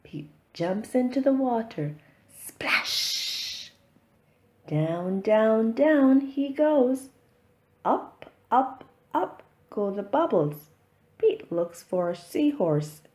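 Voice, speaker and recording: A woman reading a children's picture book aloud, with expressive, deliberate storytelling for young listeners. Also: on the high side.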